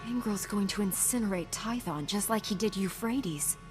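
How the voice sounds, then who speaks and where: A female actor as a heroic space knight in a video game. She speaks firmly and with composure in a medium-pitched voice, music clearly playing behind. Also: normal speed, natural voice. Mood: resolute, composed. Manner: firm, composed. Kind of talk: dramatic video game acting.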